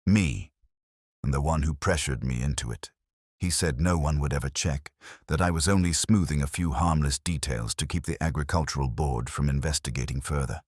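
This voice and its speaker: A tense, guilt-ridden woman in a detective audio drama, read by a man. The delivery is quiet and hesitant, very low in pitch, with a dry, close sound. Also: moderate pace, husky, dry recording. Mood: guilty.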